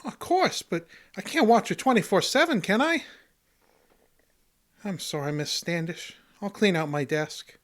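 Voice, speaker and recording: A meek, simple-hearted janitor talks in a high voice that swings up and down. He sounds naive, folksy and apologetic, a loyal soul from a comic radio play. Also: sing-song, measured pace, a bit bright, exaggerated voice. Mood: sheepish. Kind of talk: comic radio drama acting.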